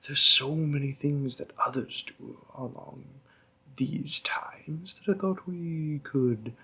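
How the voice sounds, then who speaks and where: A man playing a doting demon boyfriend in an intimate roleplay audio, speaking softly to the listener with a husky, gravelly voice and lingering pauses. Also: medium pitch, heavily acted. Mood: gently coaxing. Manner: slow, deliberate, hushed, with lingering pauses. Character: doting, gentle male demon boyfriend, coaxing and protective.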